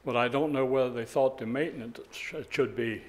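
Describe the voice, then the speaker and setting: A man's voice: medium pitch, steady tone, brisk. Folksy older man at the public mic. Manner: folksy, rambling, chuckling asides. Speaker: resident giving public comment. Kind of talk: public comment at a town meeting.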